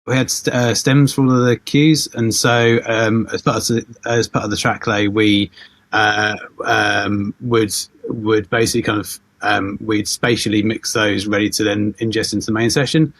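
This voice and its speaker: Husky guy explaining technical stuff, lots of halting, pretty monotone, dry close sound. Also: fairly low pitch, no room sound. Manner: hesitant, halting, repeats words. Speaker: audio mixer interviewed on a panel. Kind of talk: technical explanation in panel talk.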